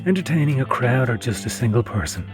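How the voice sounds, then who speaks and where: A man's voice: mid-range voice, very animated, fast talker. A man reading scripted narration for a documentary. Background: guitar music.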